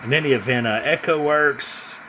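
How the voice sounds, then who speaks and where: A man casually demonstrating a radio he is selling, talking in an informal, halting, hands-on way. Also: mid-range voice. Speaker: CB radio seller. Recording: noisy room with radio hiss.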